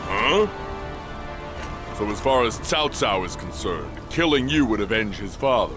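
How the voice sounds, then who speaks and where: An actor playing a cocky, blunt warrior in a video game, with an informal manner. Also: male voice, on the low side, big pitch swings, a little slow. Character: brash, cocky warrior sworn brother. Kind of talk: video game dramatic acting. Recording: voice under loud music.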